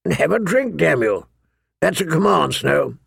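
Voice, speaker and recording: Man playing a gruff old commander, gravelly growl. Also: a little high, big pitch swings, normal speed, a bit bright, no echo, clean studio sound, voice pushed up, exaggerated voice. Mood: stern, grumbling. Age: older.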